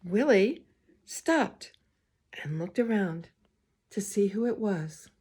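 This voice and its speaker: A woman reading a picture book aloud to young children, expressive and gently pausing to speak to her listeners. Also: medium pitch, husky. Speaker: storybook reader, school well-being coordinator.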